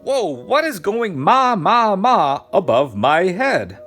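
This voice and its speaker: Man acting startled picture-book neighbour, high swooping pitch, drawn-out sing-song, exaggerated exclamations. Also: big pitch swings, a bit bright, faint music behind, full character voice. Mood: startled, exclaiming.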